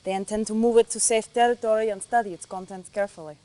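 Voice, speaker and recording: A woman's voice: medium pitch, steady tone, fast talker, warm tone, dry recording, lightly acted, poor audio. A woman playing an efficient Soviet military aide in a game cutscene, giving a formal, clipped briefing. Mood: businesslike. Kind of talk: dramatic game cutscene acting.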